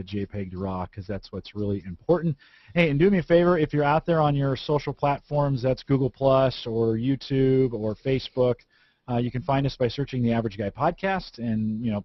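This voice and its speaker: Man, podcast host, middle pitch, rambling and chatty, dry close studio sound. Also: fairly even intonation, normal speed, no room sound, lo-fi sound. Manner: chatty, rambling with many fillers and restarts. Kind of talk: casual tech podcast chat.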